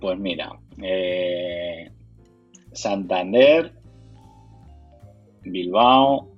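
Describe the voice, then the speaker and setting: Castilian spanish guy answering interview questions, lowish voice, bit hesitant, dull lo-fi sound. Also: extremely slow, faint music behind. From Madrid, Spain. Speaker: interviewee, board game community organizer.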